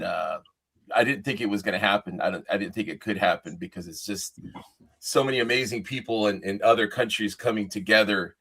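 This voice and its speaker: A man's voice: fairly low pitch, a bit bright. A man with an American accent hosting a casual livestream chat. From California, United States. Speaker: host.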